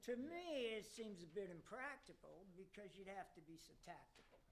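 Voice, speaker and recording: A man's voice: sits high, sing-song, normal speed. A man playing a commanding devil chairing a council in hell, grandiose and declamatory, in amateur rhymed radio theater. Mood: formal, presiding. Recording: noticeable background noise.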